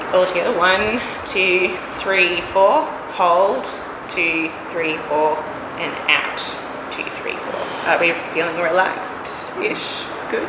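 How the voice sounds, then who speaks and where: Hoarse woman preaching in an echoey church. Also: lowish voice. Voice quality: coughs now and then. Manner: relaxed, conversational, chatty asides. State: hoarse, has lost her voice. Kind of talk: casual sermon. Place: church hall. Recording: echoey church hall, air conditioning hum.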